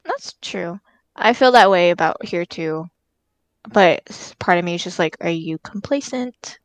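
A woman's voice: fairly low pitch, animated, a little slow, no room sound. A woman in her early twenties in casual chat, giggly and telling stories with quoted asides.

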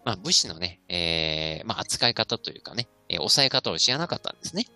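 Bright-voiced man, animated and fairly low. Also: faint music behind. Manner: halting, filler-laden, choppy phrases. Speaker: history club member, podcast panelist. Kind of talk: informal impromptu history explanation.

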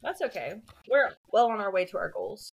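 A woman's voice: mid-range voice, lively intonation, moderate pace, vocal fry, noisy background. A woman co-hosting a book podcast, chatting casually and conversationally with frequent "like". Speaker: podcast co-host.

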